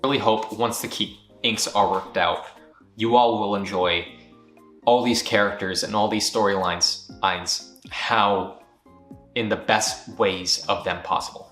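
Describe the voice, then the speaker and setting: A man's voice: lowish voice, steady tone, a little slow, fairly bright tone, faint music behind. A man who founded a studio gives a firm, earnest year-end recap, speaking directly to fans. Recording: roomy echo.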